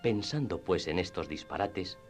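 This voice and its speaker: A man narrating classic literature in radio theatre style, with expressive storytelling and deliberate phrasing. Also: deep voice. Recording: music under the voice. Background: background music.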